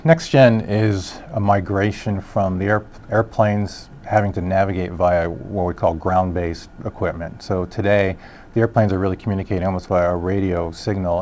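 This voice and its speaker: A man giving explanatory interview answers as an industry expert, haltingly rephrasing as he goes. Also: low-pitched, darkish tone, slight background noise. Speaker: industry expert, solutions director.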